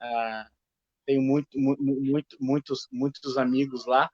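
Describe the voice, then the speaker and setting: A man hosting a live online discussion, talking in a halting way with many restarts. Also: medium pitch, little pitch movement, dry recording. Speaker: host. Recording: dull lo-fi stream audio.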